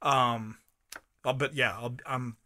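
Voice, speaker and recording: A man in his late thirties co-hosting a podcast, chatting casually and rambling through halting restarts. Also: medium pitch, fairly even intonation, heavy background noise. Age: 30s. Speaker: podcast co-host. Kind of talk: casual chat.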